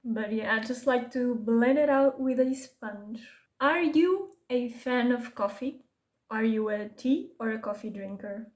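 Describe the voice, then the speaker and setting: Soft-spoken Portuguese woman chatting about makeup. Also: a little high, measured pace. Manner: casual, self-correcting, rambling asides. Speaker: beauty vlogger. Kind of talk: chatty get-ready-with-me makeup talk.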